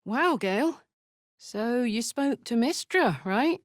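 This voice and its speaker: A woman's voice: a little high, expressive, slow, no room sound, studio quality. An actress voicing a brash, big-hearted tiefling warrior woman in a video game, talking bluntly like a battle-hardened soldier with her feelings in the open. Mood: casual, ready. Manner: blunt, informal, emotionally open soldier's talk. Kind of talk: video game character voice acting.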